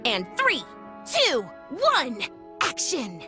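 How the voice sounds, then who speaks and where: An actress playing an eager, commanding young woman who leads a film crew in a video game, energetic in delivery. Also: high voice, very slow, faint music behind, exaggerated voice. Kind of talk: video game character acting.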